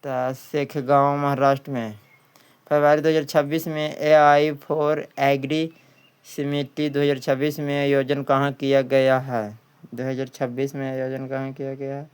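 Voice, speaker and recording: Male exam coach, Bihari accent, exceptionally clean smooth voice, fairly even pitch, didactic question-and-answer delivery with repetition. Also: unhurried. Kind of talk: quiz lesson, reading questions aloud and answering them.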